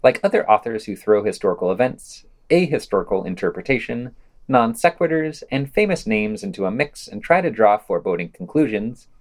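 A man's voice: on the low side, big pitch swings, brisk. A man reading scripted podcast commentary as a host, with a sardonic, dismissive manner. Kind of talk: scripted review commentary, read aloud.

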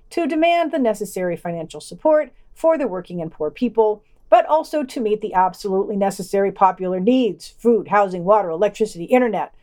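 A woman with a mid-pitched voice reads news for a podcast. Her delivery is scripted but wry, with dry, sardonic commentary slipped in as she goes. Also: darkish tone. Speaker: podcast co-host reading news.

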